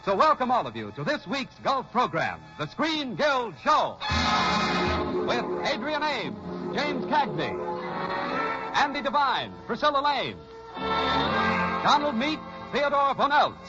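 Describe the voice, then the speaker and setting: A man's voice: very high voice, huge pitch swings, takes it slowly, long pauses. A man announcing a vintage radio show in an emphatic, formal showman style. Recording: dull vintage radio recording with music.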